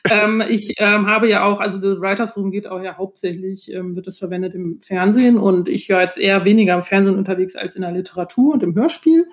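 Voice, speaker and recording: Female guest author, medium pitch, casual explanations, thoughtful and hesitant delivery. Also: fast, hardly any pauses.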